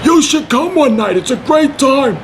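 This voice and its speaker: A male actor plays a good-hearted construction worker with a New York accent and a strikingly high voice for a man. He rambles earnestly and stays unfazed, as in an audio play. Light street noise sits behind him. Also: expressive, normal speed. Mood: upbeat. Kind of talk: scripted audio play dialogue.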